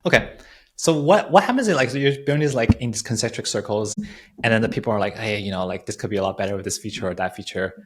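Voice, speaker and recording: A man with a slightly low voice hosts a casual podcast chat. He talks quickly and informally, repeating words as he works his way into questions and comments. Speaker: podcast host, interviewer.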